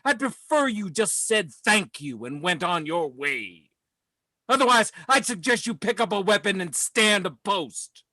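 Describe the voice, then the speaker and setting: A man plays a commanding Marine colonel on the witness stand in a stage drama. His voice is high for a man and forceful, swinging widely in pitch. He sounds haughty and full of contempt, an orator sure of his own rank. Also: sing-song, a little slow, dry recording, heavily acted. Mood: impassioned contempt. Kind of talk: dramatic script reading.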